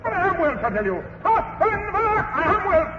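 A man playing an excitable, gullible young hero in a radio comedy, stammering and giggling. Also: extremely high pitch, measured pace, highs cut off, over loud music, exaggerated voice. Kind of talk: 1950s radio comedy acting. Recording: old radio broadcast, distant mic, echo, hiss.

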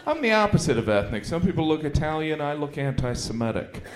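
A man doing a stand-up routine on a club stage, deadpan and self-mocking, acting out quoted voices. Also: on the high side, fast talker, over a noisy crowd. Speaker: stand-up comedian. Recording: echoey, noisy club recording.

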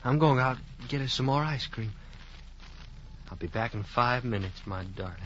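An actor playing a young man softly reassuring his sick sweetheart, in a dull lo-fi recording. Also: medium pitch, normal speed, slight background noise, natural voice. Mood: tender. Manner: gentle, reassuring, soft-spoken. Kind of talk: radio drama acting.

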